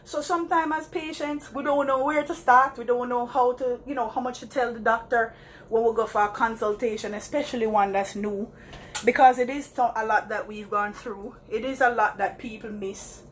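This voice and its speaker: A woman's voice: a little high, fairly even intonation, normal speed, slight background noise. A Jamaican woman chatting casually to her live viewers while walking around the block, laughing often and calling them guys. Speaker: vlogger.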